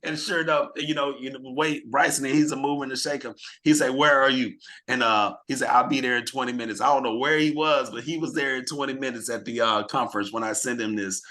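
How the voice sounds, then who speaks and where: A slightly hoarse man hosting a team video call, rallying the group with questions in an energetic, motivational way. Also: mid-range voice, a little fast, few pauses. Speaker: team leader hosting a real estate mastermind call.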